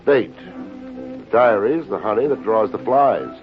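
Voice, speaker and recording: An actor playing a cocky, hardboiled private detective in an old-time radio drama, narrating and bantering in wry slang. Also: male voice, fairly low pitch, expressive, takes it slowly. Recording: muffled old radio recording with music.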